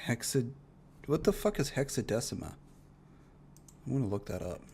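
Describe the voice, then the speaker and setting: Male reaction streamer, mid-pitched, blunt and profane, casual delivery. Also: lively intonation, normal speed, creaky, darkish tone, slight background noise. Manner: blunt, profane ranting with frequent swearing.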